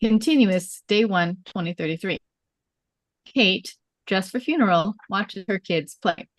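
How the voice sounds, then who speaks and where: A woman's voice: medium pitch, lively intonation, measured pace, no room sound, rough recording. A woman reading screenplay stage directions as scripted narration, in short clipped storytelling phrases.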